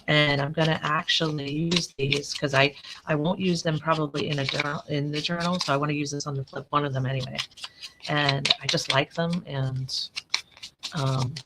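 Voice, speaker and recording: A woman with a raspy, allergy-worn voice, rambling and correcting herself as she talks through a live crafting tutorial at her desk. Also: deep voice, fairly even intonation, normal speed, very noisy. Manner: chatty, rambling, self-correcting. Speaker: craft channel host.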